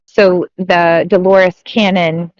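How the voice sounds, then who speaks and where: A woman's voice: fairly low pitch, flat delivery, takes it slowly, dry recording. A woman talking in a casual, rambling way, often restarting her sentences and swearing. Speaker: speaker sharing her story. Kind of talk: casual spoken monologue. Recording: muffled, dry lo-fi audio.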